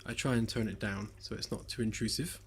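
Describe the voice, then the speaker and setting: A man developing a game on a live stream, chatting casually as he works, slightly hoarse and rambling with lots of fillers. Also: lowish voice, steady tone, fairly quick. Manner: rambling, hesitant, many fillers, self-deprecating jokes. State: slightly hoarse, losing his voice. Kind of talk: relaxed live-stream chat while working. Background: faint lo-fi background music.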